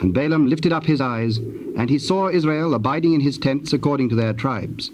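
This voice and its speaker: A man narrating scripture for a dramatized audio Bible in a measured, formal way. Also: mid-range voice, a little mellow, lightly acted. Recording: faintly hissy old cassette tape.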